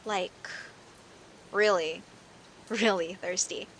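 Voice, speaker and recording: Woman acting a bright, unhurried, teasing werecat neighbor. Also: medium pitch, fairly even intonation, a little hiss or hum, low-quality recording. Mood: amused storytelling. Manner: playful, conversational, with hesitant pauses and theatrical emphasis. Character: friendly teasing young werecat woman, chatty neighbor telling her story. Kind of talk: audio roleplay, casual one-sided conversation with the listener.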